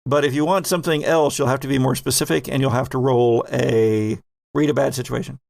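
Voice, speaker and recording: A man with a gravelly, creaky voice works as a tabletop game master, recorded close and very dry. He improvises the story conversationally, speaking straight to his players. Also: mid-range voice, steady tone, a little fast, a little mellow, dry recording. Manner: conversational narration, addresses players directly.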